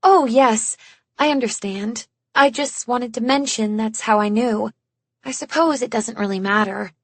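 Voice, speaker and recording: Woman playing a timid, polite young woman, higher-pitched. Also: a little slow, no room sound. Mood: cautious. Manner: hesitant, placating. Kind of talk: audiobook character acting.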